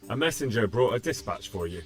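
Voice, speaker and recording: Earnest, lively young medieval man, voiced by an actor. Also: lowish voice, brisk, faint music behind, natural voice. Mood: tentative. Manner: direct, questioning. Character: earnest, plain-spoken young male errand runner in medieval times. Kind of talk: video game cutscene acting.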